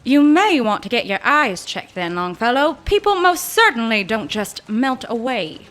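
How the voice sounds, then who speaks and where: A woman's voice: fairly high pitch, very animated, normal speed, fairly bright tone. An actress playing a brash, sceptical small-town woman in a vintage-style comic audio drama, sassy, blunt and folksy. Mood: sceptical, wry.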